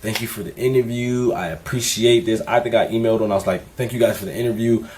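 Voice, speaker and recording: A young man with a fairly low voice talks casually to camera, candid and easygoing, full of "you know" fillers. Faint noise sits behind him in the recording. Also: fast. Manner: relaxed, candid, conversational with frequent fillers. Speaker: vlogger. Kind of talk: casual vlog advice.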